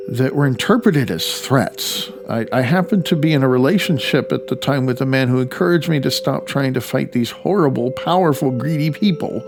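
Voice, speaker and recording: A man with a gravelly voice, narrating his own memoir as an audiobook, haltingly, sometimes stumbling over words. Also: mid-range voice. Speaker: author reading own audiobook.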